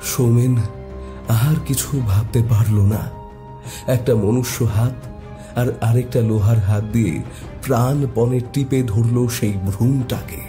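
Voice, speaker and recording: A man narrating a scripted horror story in a suspenseful, deliberate, dramatic way. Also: medium pitch, steady tone, music in the background.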